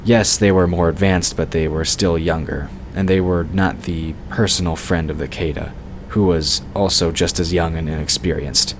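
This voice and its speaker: A man's voice: sits low, fairly even intonation, normal speed. A man narrating a fantasy story from a script, as the narrator of an audio drama. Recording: clear background noise and ambience.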